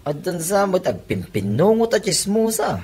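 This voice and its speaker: A man's voice: high-pitched, sing-song, normal speed, a little mellow, a little hiss or hum. A man with an Ilocano accent playing a curious villager in a comedy radio drama. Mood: inquisitive. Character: curious male villager asking about the commotion.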